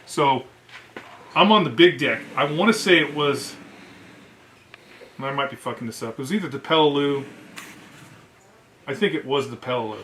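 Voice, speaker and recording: A middle-aged American man, a veteran, casually telling profanity-laced service stories in an animated way, acting out what others said. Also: medium pitch, normal speed, long pauses, a little hiss or hum. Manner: animated, profane, acts out quoted dialogue. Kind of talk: casual anecdotal storytelling.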